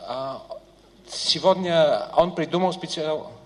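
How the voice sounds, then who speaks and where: A man's voice: fairly high pitch, takes it slowly, slight background noise. A man interpreting a seminar talk, hesitating and searching for words. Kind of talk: consecutive interpreting. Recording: hall reverb.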